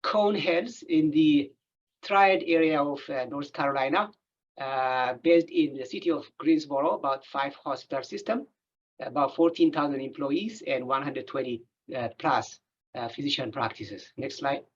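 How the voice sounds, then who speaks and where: A man with an Ethiopian accent, whose first language is not English, presenting as an endocrinologist in a webinar, halting and often pausing with filler sounds. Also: a little high, breathy edge, dull audio. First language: not English; English is his third language. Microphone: video call.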